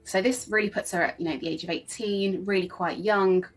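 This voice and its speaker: A woman's voice: mid-range voice, fairly even intonation, brisk. A woman giving conversational interview commentary as a royal commentator. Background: faint music.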